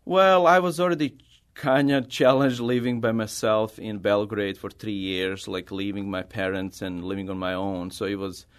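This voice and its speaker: A middle-aged man with a Bosnian accent and a fairly low voice. He is an artist answering interview questions in a conversational way. His English is his second language after Bosnian, so his phrasing is a little halting as he tells personal stories. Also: fairly even intonation, smooth. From Bosnia, former Yugoslavia.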